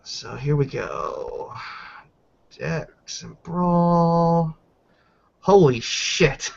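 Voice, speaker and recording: A man with a fairly high voice runs a tabletop game as its game master. He narrates informally and swears freely. The audio is dull and lo-fi. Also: drawn-out words, gravelly. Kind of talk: casual live game narration with dice counting.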